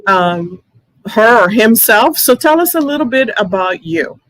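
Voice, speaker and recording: Bright, chatty woman hosting a live interview. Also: on the high side, lively intonation, unhurried, no room sound, low-quality recording. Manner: chatty, many fillers and restarts. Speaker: host.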